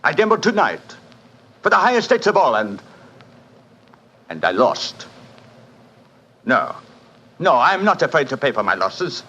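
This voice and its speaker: An actor playing a suave, fatalistic French casino manager in a 1940s radio drama, courtly and theatrical, with a French accent. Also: male voice, mid-range voice, sing-song, unhurried, long pauses, bright, forceful tone, full character voice. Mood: fatalistic, proud. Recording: muffled vintage 1940s radio broadcast.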